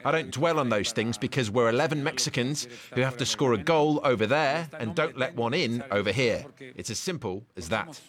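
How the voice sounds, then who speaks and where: A man voicing an English translation over an interview in a steady, matter-of-fact way. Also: mid-range voice, normal speed.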